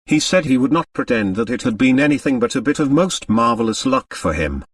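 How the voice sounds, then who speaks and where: A man's voice: mid-range voice, animated, no echo, clean studio sound, distant mic, natural voice. A man as a reserved, polite Englishwoman. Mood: guarded. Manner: slow, measured.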